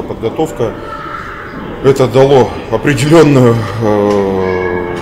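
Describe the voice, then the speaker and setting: A man answering interview questions on a sofa in a big indoor hall, speaking in measured phrases with many hesitant fillers. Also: fairly low pitch. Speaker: interview guest. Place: large bright indoor hall, seated on a sofa. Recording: noisy room ambience.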